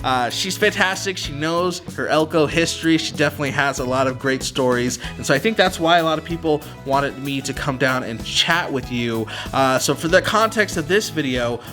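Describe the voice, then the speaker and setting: A man's voice: a little high, normal speed, few pauses, soft background music. Upbeat American male show host. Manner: energetic, chatty, enthusiastic. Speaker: host of a local web show. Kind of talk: upbeat interview host chat. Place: outdoors at a local museum, windy.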